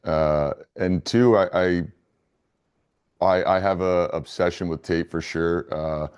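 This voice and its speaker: A man with a low, clean, resonant voice answers interview questions conversationally. He sounds earnest and measured, with little rise and fall in his pitch. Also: fairly even intonation, darkish tone, smooth, dull audio. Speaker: interview guest, business founder.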